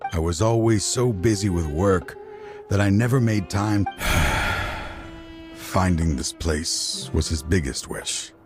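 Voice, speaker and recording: An actor playing a dying older scholar in a video game, grave, weary and resolute. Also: male voice. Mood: remorseful. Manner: grave, weary, low-spoken. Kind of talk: video game cutscene voice acting. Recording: game dialogue over loud score.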